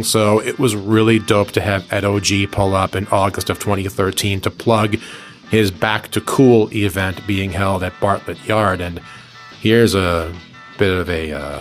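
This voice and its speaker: Male radio personality, low-pitched, easygoing and slangy, reminiscing conversationally over a music bed. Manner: easygoing slangy address to listeners. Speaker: radio personality recounting his career. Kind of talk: conversational storytelling.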